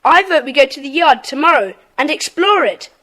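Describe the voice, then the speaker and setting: A woman playing a decisive schoolboy club leader with a brisk, take-charge manner in a children's audio drama. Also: high voice, animated, a bit bright, low-quality recording. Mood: keen.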